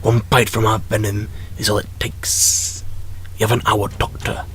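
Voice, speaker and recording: A man playing a cold, grandiose reptilian alien leader in an audio drama, formal and threatening, with a hissing, breathy edge. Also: lowish voice, little pitch movement, moderate pace, lightly acted. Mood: coldly commanding. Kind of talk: audio drama villain acting. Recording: loud music under the voice.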